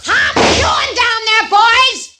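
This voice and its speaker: An actress in a 1950s radio drama playing a brash, tough-talking woman saloon owner who is a crack shot and quick to flare up. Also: very high voice, lively intonation, bright, forceful tone, exaggerated voice. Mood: boastful. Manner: brassy, boastful, quick to flare up. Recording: old radio broadcast with faint hiss.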